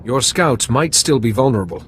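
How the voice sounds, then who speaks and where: An actor playing a calm, dutiful Jedi hero in a video game, with a measured, formal and earnest delivery. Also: male voice, medium pitch, slight background noise, natural voice. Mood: calm resolve.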